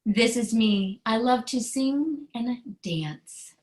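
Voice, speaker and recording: Slow-paced woman, earnest children's author. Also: a little high, expressive, darkish tone. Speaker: children's book author reading her book. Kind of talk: storybook read-aloud and explanation.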